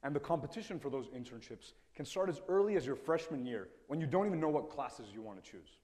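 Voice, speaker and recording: A man's voice: medium pitch, expressive, quick pace, dark tone. Young guy from ecuador, native spanish speaker, earnest storytelling, echoey hall. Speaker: TEDx speaker. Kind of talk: personal storytelling talk. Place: stage in a lecture hall. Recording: reverberant hall sound.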